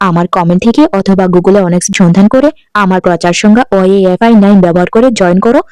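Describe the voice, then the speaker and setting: A woman delivers a scripted promotion at a fast pace. Her voice is mellow and clear, at a middling pitch. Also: no room sound. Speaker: advertiser.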